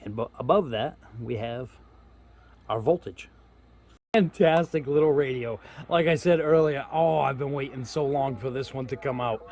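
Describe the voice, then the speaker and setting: A man's voice: medium pitch, normal speed, a little mellow. A man giving a casual hands-on product demo, explaining step by step in an informal, folksy way. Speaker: host of a radio gear review channel.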